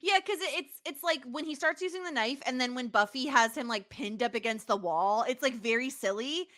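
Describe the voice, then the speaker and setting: A woman with a fairly high, crisp-edged and bright voice co-hosts a casual recap podcast. She talks informally and conversationally, with a giggly streak. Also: a little fast. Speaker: podcast co-host. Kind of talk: casual episode recap chat.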